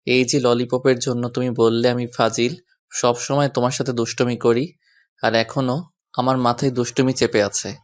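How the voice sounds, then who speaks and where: A man's voice: medium pitch, little pitch movement, normal speed, lightly acted. A man playing a mischievous, doting young husband who teases and coaxes in a romantic audio story. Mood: teasing. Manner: teasing, coaxing.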